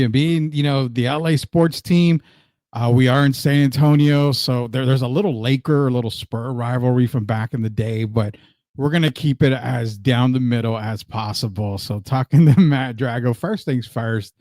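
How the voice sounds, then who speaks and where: A man's voice: medium pitch, normal speed, warm tone, dry recording, clean studio sound. An American man hosting a podcast, chatting through promotional patter and introducing his guest in an upbeat interview style. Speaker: podcast host.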